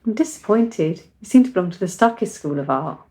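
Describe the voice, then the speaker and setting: A woman's voice: mid-range voice, animated, brisk, warm tone, close to an everyday voice. An actress playing a frank, cheerful, precociously mature seventeen-year-old country girl in a radio play, articulate and chatty. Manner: articulate, matter-of-fact, chatty.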